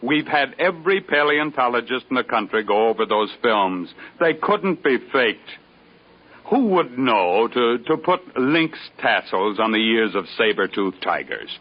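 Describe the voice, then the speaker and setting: An actor playing a dogged, impassioned government researcher in a 1950s radio drama, insistent and pleading. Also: male voice, mid-range voice, sing-song, measured pace, husky. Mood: urgent, pleading. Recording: dull 1950s radio recording.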